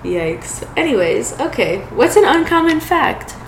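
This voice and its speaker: A woman hosting a podcast interview, asking questions casually and quietly, often murmuring soft agreement. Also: sits high, big pitch swings, a little slow. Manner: quiet, frequent soft "mm-hmm" backchannels, self-deprecating. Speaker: podcast host. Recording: echoey room with background noise.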